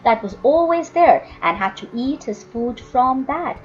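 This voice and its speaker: A woman reading a story aloud as an expressive audiobook narrator. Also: high-pitched, unhurried, highs cut off.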